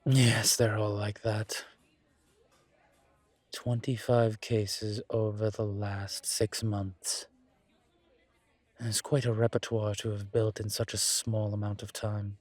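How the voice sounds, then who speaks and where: Man playing a slow, wry, low-voiced incubus. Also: little pitch movement, darkish tone, no echo. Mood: serious, measured. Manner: measured, deliberate, wry, conversational. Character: composed, wry male incubus demon investigator, politely self-assured. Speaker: audio roleplay performer. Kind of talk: scripted one-sided roleplay audio drama.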